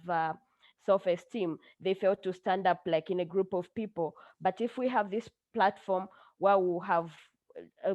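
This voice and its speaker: A woman from Zambia speaking earnestly, in a halting way with many fillers, over a video call. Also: on the low side, a little mellow, no room sound, muffled recording. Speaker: judo association mentee presenting.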